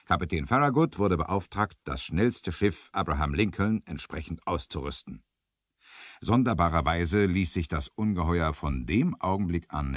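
A man's voice: rumbling low, no room sound. A man narrating an adventure audio drama in a theatrical, emphatic storytelling manner, as on a 1970s studio record.

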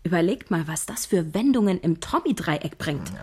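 An actress playing a shy young woman scriptwriter who narrates her story and eagerly pitches plot twists in a radio play. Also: expressive, normal speed, dark tone, breathy edge. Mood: telling.

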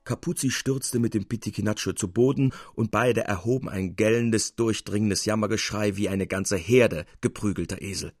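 A man's voice: lowish voice, normal speed, few pauses, soft background music. A man with a standard German accent reading a literary tale expressively, in dramatic narration. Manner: expressive, acting out dialogue. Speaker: audiobook narrator. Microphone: close studio microphone.

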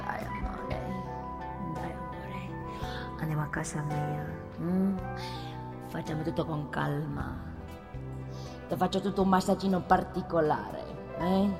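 An actress playing a brazen, sharp-tongued transgender street prostitute who cajoles and mocks in a theatrical radio monologue. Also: female voice, deep voice, slow pace, creaky, long pauses, heavily acted. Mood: coaxing. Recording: reverberant theatre hall, music behind.

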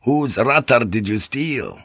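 A man voicing a boisterous, swaggering sea pilot who talks in a loud, jovial, profane way, on a dull cassette audiobook tape. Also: medium pitch, lively intonation, slow, husky, no room sound, exaggerated voice.

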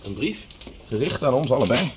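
An actor playing an earnest, polite but probing scientist in formal radio drama dialogue. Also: male voice, medium pitch, sing-song, normal speed, noisy background, close to an everyday voice. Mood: polite, puzzled.

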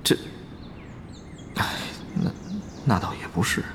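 An actor playing an exasperated, excitable young male guild leader in an audio drama, grumbling and easily flustered. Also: takes it slowly, background noise, close to an everyday voice. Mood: reluctant. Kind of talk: audio drama acting, dialogue and inner monologue. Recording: music bed under dialogue.

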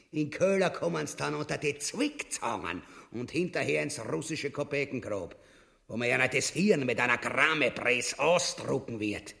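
A man's voice: fairly high pitch, vocal fry, a bit bright, exaggerated voice. A man with an Austrian-Bavarian accent playing a crude, greedy, bullying tyrant king in a grotesque radio play, pompous and coarsely abusive. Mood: pompous, bloodthirsty. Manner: pompous proclamations sliding into coarse insults. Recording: long hall-like reverberation.

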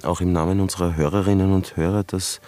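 An actor playing a polite, soft-spoken radio interviewer in a naturalistic radio drama. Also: male voice, low voice, fairly even intonation, fast, soft background music, close to an everyday voice. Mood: formal, polite. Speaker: radio host.

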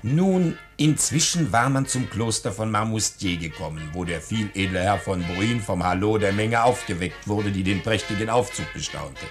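A man's voice: fairly low pitch, normal speed, few pauses, music in the background. A man narrating a 1940s radio play in a wry, ornate storybook manner.

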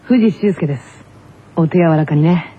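Woman as a gentle teenage boy, tinny radio. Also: sits low, unhurried, husky, voice pushed down, exaggerated voice. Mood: gentle, composed. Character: gentle, softly composed teenage boy tennis player. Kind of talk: anime character radio acting. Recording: tinny narrow-band radio, faint noise.

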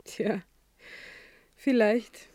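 An actress playing a plain-spoken, sceptical confidante in a literary radio play, speaking quietly and doubtfully. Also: female voice, mid-range voice, very slow, dry recording. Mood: musing.

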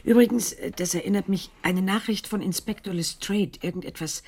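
A woman playing a dryly curious companion in a German radio drama, speaking in a casual, gently probing way. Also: mid-range voice, a little fast, close to an everyday voice.

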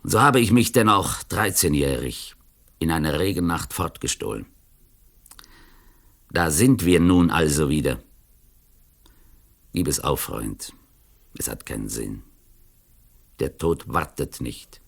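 Very deep-voiced, sardonic criminal. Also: male voice, expressive, gravelly, full character voice. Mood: bitter, sardonic. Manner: deliberate, eloquent, mocking. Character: cynical, taunting older male criminal, sardonic and fatalistic. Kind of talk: radio play acting.

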